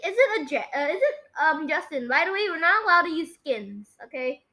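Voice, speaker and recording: A female-sounding young host with a high, bright voice. The delivery is casual and excitable, like friends chatting over a game, with phrases often repeated. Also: a little fast. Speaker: podcast host. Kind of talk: casual game chat, guessing voice lines.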